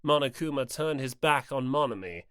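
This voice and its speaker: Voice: medium pitch, animated, normal speed, a bit bright, dry recording, studio quality. An audiobook narrator reading scripted story narration in the third person.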